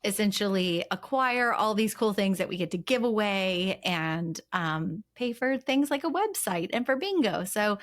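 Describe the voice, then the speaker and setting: A bubbly woman co-host on a chat podcast, her everyday middle-pitched voice breaking into frequent laughs. She rambles a little, stringing thoughts together with plenty of fillers. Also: animated, a little slow, few pauses.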